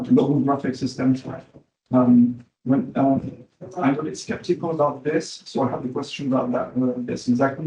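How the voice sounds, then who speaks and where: A man in the audience asking a long question at a talk, with many pauses and restarts. English is not his first language. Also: mid-range voice, warm tone, roomy sound, rough recording. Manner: halting, many fillers and restarts.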